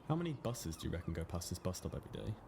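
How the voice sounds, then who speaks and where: A man's voice: low voice, very animated, very fast, creaky, very noisy, full character voice. A man playing a needling, wistful young man in a naturalistic radio play, colloquial and dry. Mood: musing.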